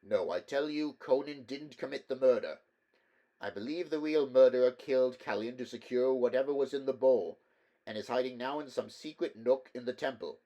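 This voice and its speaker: A man voicing a shrewd male inquisitor who reasons a case aloud briskly. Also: mid-range voice, warm tone, lightly acted, low-quality recording. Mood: reasoning.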